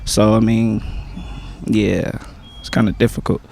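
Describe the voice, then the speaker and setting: A young man, a stage actor, answering interview questions in a relaxed, slangy way with many pauses and "um"s. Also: on the low side, gravelly, a little hiss or hum. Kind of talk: casual interview chat.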